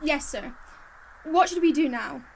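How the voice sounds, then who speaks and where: A meek, eager female assistant played by an actress in a radio play. Her voice is high and she speaks slowly. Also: creaky, a little hiss or hum, poor audio. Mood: hesitant, obedient. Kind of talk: radio drama acting.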